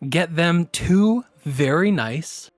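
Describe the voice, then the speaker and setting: A man acting as game master, casually and haltingly recapping a tabletop game session. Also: fairly high pitch, lively intonation, no echo, soft background music.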